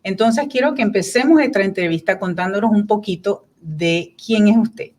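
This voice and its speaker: A woman's voice: medium pitch, normal speed. A woman hosting a faith radio program, interviewing a guest and leading a heartfelt prayer. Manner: warm, devout, leads a prayer. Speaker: radio host. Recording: radio studio.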